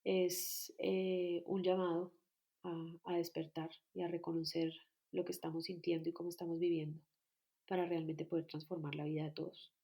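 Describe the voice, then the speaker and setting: Calm woman, low voice. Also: moderate pace. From Colombia. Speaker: guest, personal growth coach. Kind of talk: reflective personal account. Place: at home near Bogotá.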